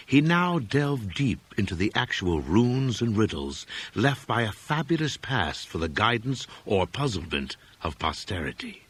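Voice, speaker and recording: A man reading a literary horror story as an audiobook narrator, in a formal, measured storytelling manner. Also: fairly low pitch, fairly bright tone, breathy edge, no room sound. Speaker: audiobook reader.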